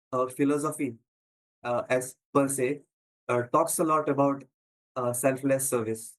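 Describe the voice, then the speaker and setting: Slow-speaking Indian man presenting, halting. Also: mid-range voice, rough recording. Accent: Indian English. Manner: frequent pauses and fillers, stutters. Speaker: conference presenter. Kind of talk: prepared talk.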